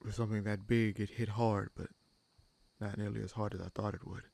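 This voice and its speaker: Casting: male actor for wounded human bandit leader, lower register, gruff yet kind, halting and reflective, intimate fantasy roleplay addressed to the listener. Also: flat delivery, a little mellow. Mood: thoughtful, suspicious. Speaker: audio roleplay performer.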